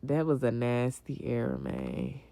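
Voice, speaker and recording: A woman's voice: rumbling low, very animated, a little slow, vocal fry, mellow, dry recording. A young adult woman chatting casually on a livestream as she answers viewers, blunt, slangy and full of swearing, often repeating a phrase for emphasis. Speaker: livestream host.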